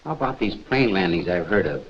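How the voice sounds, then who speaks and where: A questioning trainee secret agent in a wartime film. His voice is fairly low. The sound is dull and muffled, from an old soundtrack. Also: lively intonation, fairly quick, slight background noise. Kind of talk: 1940s training-film acting.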